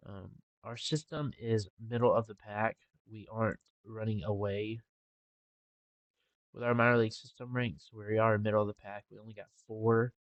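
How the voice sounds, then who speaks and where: A young man talking casually over a game with a congested, stuffy nose and a relaxed, rambling manner. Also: lowish voice, gravelly, no echo, muffled recording. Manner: relaxed, rambling with filler ums. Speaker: gaming channel host. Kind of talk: casual gameplay commentary.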